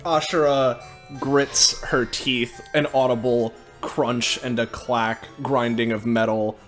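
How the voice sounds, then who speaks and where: A man's voice: mid-range voice, little pitch movement, takes it slowly, fairly bright tone, faint music behind. A man talking casually as a player in a tabletop game, with hesitant, easygoing phrasing.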